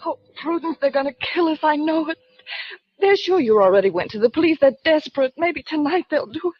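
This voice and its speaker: A woman's voice: high voice, animated, brisk. An actress playing a frightened young woman in a 1940s radio mystery, wailing and fearful, on a muffled old broadcast. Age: young adult. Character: frightened young woman kidnap victim, panicky.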